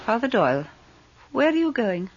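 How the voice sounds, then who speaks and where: An actress playing a proud, stubborn mistress of a haunted house in a radio drama, with a firm, formal manner. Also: female voice, fairly high pitch, big pitch swings, normal speed, slight background noise. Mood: cool, commanding. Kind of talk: 1980s radio drama acting. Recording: slightly dull 1980s radio recording.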